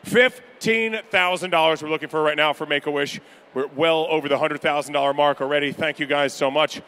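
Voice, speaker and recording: A man calling pledges at a charity gala in a banquet room, energetic and upbeat with rapid-fire banter to the crowd. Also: on the high side. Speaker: charity auctioneer. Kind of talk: live fundraising pledge calling.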